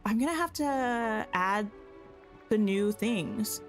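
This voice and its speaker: A woman's voice: a little high, lively intonation, takes it slowly, soft background music. A woman live-streaming a game, chatting casually and answering her viewers, her voice slightly scratchy. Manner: chatty, greets and answers viewers, thinks aloud. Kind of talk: casual live-stream chat while playing.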